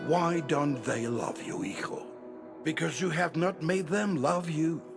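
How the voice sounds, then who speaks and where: An actor playing a solemn, calculating male priest, giving measured instruction in game drama. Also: fairly high pitch, creaky, music in the background.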